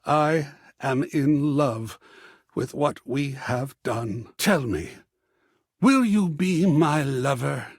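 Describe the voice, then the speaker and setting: An actor playing an effusive, romantic living artwork in a video game, with florid, rhapsodic, theatrical delivery. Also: male voice, mid-range voice, husky, no echo, clean studio sound. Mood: lovestruck, earnest. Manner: florid, rhapsodic, savouring pauses, swooping dramatically. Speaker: voiced dateable character in a dating simulator game.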